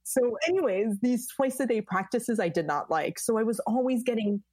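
A woman in her thirties from the United States, a high-energy podcast guest who tells animated stories in casual chat. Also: moderate pace, dry recording.

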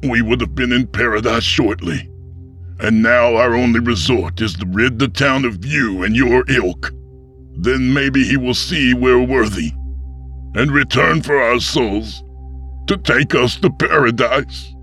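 A man's voice: medium pitch, a little slow, fairly bright tone, soft background music, voice pushed up, natural voice. A man voicing a zealous, scornful small-town pastor with a preachy manner. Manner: preachy, contemptuous.

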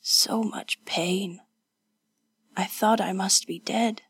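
A woman voicing a battered, distressed young woman in a hoarse, faint, halting whisper for an audiobook. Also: medium pitch, fairly even intonation, dry recording. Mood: weak, pained. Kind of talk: audiobook character voice.

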